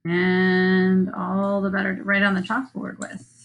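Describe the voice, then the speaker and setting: A woman voicing a storybook bear that is politely menacing, in a deliberate read-aloud delivery. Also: medium pitch, completely flat delivery, a bit bright, slight background noise, low-quality recording. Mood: sly menace.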